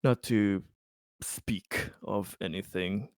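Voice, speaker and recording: Man acting a slow, formal corporate diplomat. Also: sits low, steady tone, no echo, clean studio sound. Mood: formal, careful. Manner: halting, formal, wordy. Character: polite, formal male colonial corporate diplomat.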